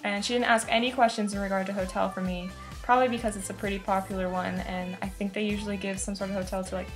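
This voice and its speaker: A woman around twenty chatting casually to camera as a student vlogger, in an informal, rambling way, often interrupting herself. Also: medium pitch. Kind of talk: casual chat to camera recounting an experience.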